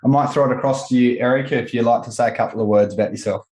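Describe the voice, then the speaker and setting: A man's voice: mid-range voice, fairly even intonation, very fast, darkish tone. Man hosting a podcast, noticeably roomy sound. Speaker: podcast host. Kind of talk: scripted intro and roundtable hosting. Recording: roomy, echoing voice recording.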